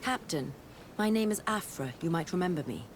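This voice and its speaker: An actress voices a learned female companion in a fantasy game, her voice slightly low. She is composed and frank, reasoning with people in a measured, persuasive way. A faint rumble runs underneath. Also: animated, close to an everyday voice. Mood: calm, persuasive. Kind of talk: video game cutscene acting.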